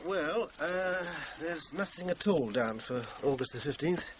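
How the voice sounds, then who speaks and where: A man's voice: fairly high pitch, lively intonation, a little slow, lo-fi sound, background noise. An actor with a British accent playing a defensive, uneasy male suspect in a radio crime drama, speaking in a faltering, evasive way. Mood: hesitant, guarded.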